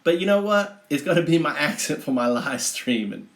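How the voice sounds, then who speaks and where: A brash redneck livestreamer acted out by a man rambles to his chat in a put-on American Southern accent. He sounds folksy, crude and boastful, with a slightly high male voice in an echoing room. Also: lively intonation, normal speed, close to an everyday voice. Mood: chatty, rambling. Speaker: livestream host. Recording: roomy, echoing livestream audio.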